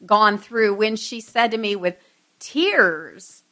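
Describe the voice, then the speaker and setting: A middle-aged woman speaks as a teacher leading an informal Bible lesson. Her voice is bright and forceful, at an ordinary female pitch. She talks conversationally to the group and drops in self-deprecating asides. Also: expressive, takes it slowly.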